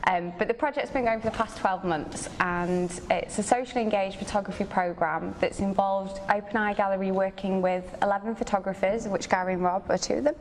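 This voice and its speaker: A woman's voice: mid-range voice, fairly even intonation, fairly quick, hardly any pauses. Woman losing her voice, noisy room. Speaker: gallery creative producer introducing a talk. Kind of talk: informal welcome talk to an audience. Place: large gallery room with seated audience. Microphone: distant camera microphone. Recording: noisy distant room recording.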